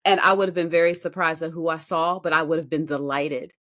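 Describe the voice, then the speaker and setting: Lowish-voiced woman, emphatic podcast co-host. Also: fairly even intonation, brisk, a bit bright. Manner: emphatic, builds points through repeated phrases. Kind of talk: casual reaction commentary on a singing show.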